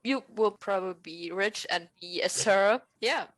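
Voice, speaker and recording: A woman's voice: medium pitch, a little slow, low-quality recording. A woman hosts a casual podcast in an upbeat, cheerful way. She introduces games and asks the guests questions. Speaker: podcast host.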